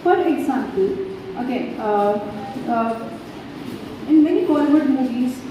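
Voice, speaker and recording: A woman from India with an Indian English accent, whose first language is Hindi, giving an in-house teacher training talk with many halting fillers and restarts. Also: on the high side, animated, background noise. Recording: echoey hall from far off, dull.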